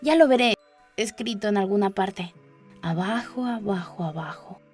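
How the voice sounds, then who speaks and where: A woman's voice: medium pitch, moderate pace, darkish tone, husky, faint music behind, close to an everyday voice. A woman voicing a curious, dreamy young girl who chatters and muses to herself in a storybook. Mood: chattering, curious. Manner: musing aloud, questioning, wordy. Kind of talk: storybook character voice.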